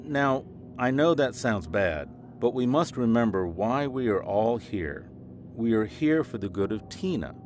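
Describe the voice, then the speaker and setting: A man's voice: medium pitch, big pitch swings, a little slow, a little mellow, slight background noise. A man playing an earnest, polite school principal in an audio drama, procedural and slightly awkward. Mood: earnest, formal. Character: earnest, officious male school principal, reassuring but awkward.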